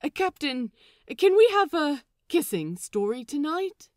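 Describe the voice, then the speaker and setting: Woman playing an excitable, bossy young pirate crewman. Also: high voice, measured pace, reverberant, voice pushed up. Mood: urgent, pointed. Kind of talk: character voice in fan fiction audiobook.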